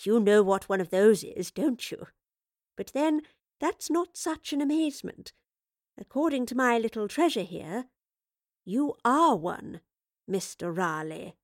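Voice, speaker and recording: Woman doing a cheeky professor lady, bouncy lively voice, higher, dry close sound. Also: a little slow, no echo. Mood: amused teasing. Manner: teasing, flirtatious, lively. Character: eccentric playful female time-travelling professor. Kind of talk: audiobook reading.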